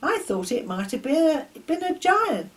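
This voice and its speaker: A woman's voice: high voice, big pitch swings, unhurried, voice pushed up. A woman voicing an earnest, curious young boy in a children's story, speaking eagerly and politely. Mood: relieved, excited.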